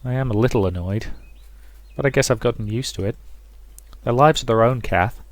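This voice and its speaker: A man voicing a gentle, thoughtful, reserved teenage girl in a visual novel, measured and earnest. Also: mid-range voice, no room sound, close to an everyday voice. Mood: calm, resigned.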